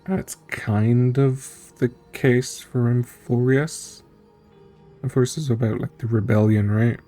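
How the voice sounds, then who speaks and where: A man giving casual streamer commentary on a game story, thinking aloud in a musing, halting way. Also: mid-range voice, fairly even intonation, warm tone, faint music behind.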